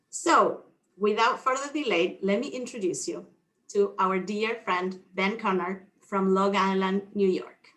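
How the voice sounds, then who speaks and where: Bright-voiced Argentine woman hosting. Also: mid-range voice. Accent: Argentine Spanish. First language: Spanish. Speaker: event host. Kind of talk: welcoming introduction speech.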